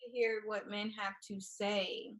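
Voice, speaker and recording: A woman in her twenties from Texas with a Texan accent, a guest in a live-stream chat, soft-spoken and hesitant. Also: medium pitch, little pitch movement, takes it slowly. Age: 20s.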